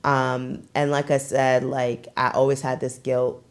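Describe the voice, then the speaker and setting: A young woman from Brooklyn hosting a podcast, telling stories in a loose, animated way with lots of fillers. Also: a bass voice, unhurried, smooth. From Brooklyn, New York. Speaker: podcast host. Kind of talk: casual podcast chat.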